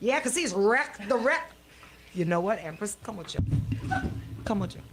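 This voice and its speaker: A woman's voice: mid-range voice, lively intonation, normal speed, background noise. A woman hosting casual radio banter in a playful, incredulous, exclamatory way. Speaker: radio host.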